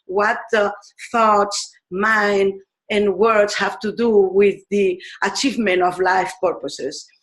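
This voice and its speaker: A woman's voice: a little high, a bit bright. Spanish-accented woman teacher, musing. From Spain. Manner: thoughtful, pauses with many fillers. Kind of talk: explanatory talk on pedagogy.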